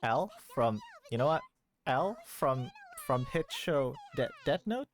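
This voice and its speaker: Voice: medium pitch, very animated, slow, slight background noise. A game streamer chatting casually on a livestream, rambling playfully and repeating phrases as if to themselves. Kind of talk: casual livestream chat while gaming.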